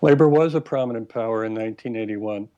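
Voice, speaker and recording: Measured academic man, dry and slightly dull sound. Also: lowish voice, dark tone, dry recording. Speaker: professor, interview guest. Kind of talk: explanatory interview commentary on history.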